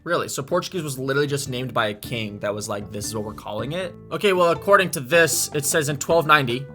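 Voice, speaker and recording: A man's voice: medium pitch, expressive, fast, bright, forceful tone, soft background music. Musing man reacting to a video. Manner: thinking aloud, restarts and hedges. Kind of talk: casual reaction commentary.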